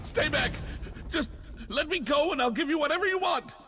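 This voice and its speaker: An actor playing a cowardly, frightened cultist nobleman in a video game, stammering and pleading in a husky voice. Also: very high-pitched, normal speed, over music. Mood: panicked pleading.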